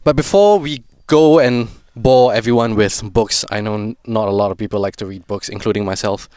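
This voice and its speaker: A young man with a Singaporean accent hosting a podcast in relaxed, rambling casual chat, full of fillers. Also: on the low side, a little fast, clear, resonant tone, no echo. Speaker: podcast host.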